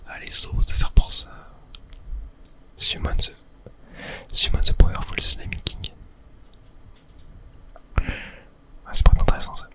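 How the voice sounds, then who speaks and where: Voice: slow, pauses often. A host whispers casual gaming commentary for ASMR, thinking aloud in a murmur with little filler words. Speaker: host of a gaming video series.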